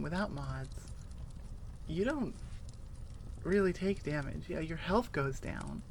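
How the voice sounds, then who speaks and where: A woman's voice: deep voice, slight background noise. A woman creator talks casually to her audience. She is enthusiastic and playful, rambling along from one thought to the next in an informal way. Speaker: audio roleplay creator. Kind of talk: casual chat to listeners.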